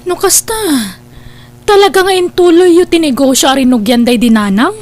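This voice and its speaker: A woman's voice: sits high, steady tone, normal speed, darkish tone, natural voice. An Ilocano-accented woman playing a disapproving, nagging elder sister from a village in an audio drama. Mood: skeptical. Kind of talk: Ilocano radio drama dialogue.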